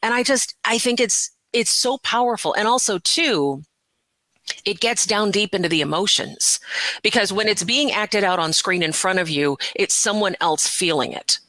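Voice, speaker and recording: A middle-aged woman talking as an interview guest, conversational, passionate, earnest and expressive. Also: mid-range voice, moderate pace, no echo, low-quality recording. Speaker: interview guest, voiceover artist and audiobook narrator.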